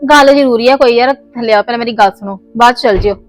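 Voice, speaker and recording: A woman's voice: fairly high pitch, fast, faint music behind, lightly acted. A woman playing a stubborn young wife in a family skit, scolding and insistent. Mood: insistent, heated. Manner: scolding, insistent, voice rising in argument. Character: young married woman, stubborn, quick to argue. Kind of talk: scripted family comedy skit.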